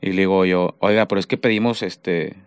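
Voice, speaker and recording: A man's voice: sits low, steady tone, a little fast, clean tone, no room sound. Joking man in a studio. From northern Mexico. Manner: crude, joking storyteller. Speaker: radio podcast co-host. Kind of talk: casual comedic chat. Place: radio studio. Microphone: headset with studio mic.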